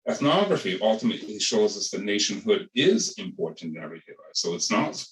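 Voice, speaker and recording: A man's voice: lowish voice, sing-song, brisk. A man giving an academic panel talk, thoughtful and hesitant, often restarting his sentences. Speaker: panel speaker, ethnographer. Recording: echoey room, far from the mic.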